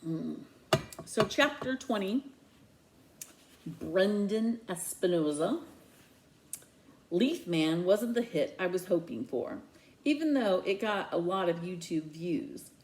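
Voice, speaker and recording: A woman's voice: lowish voice, expressive, slow pace, pauses often, darkish tone. A woman reading a children's novel aloud as a story reader.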